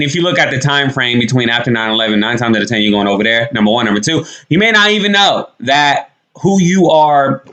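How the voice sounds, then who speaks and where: Young man, vlog commentary, outspoken, repetitive emphasis, casual swearing, average pitch. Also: a bit bright. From United States. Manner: blunt, emphatic, swears freely, repeats points. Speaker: commentary vlogger. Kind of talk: opinionated reaction commentary.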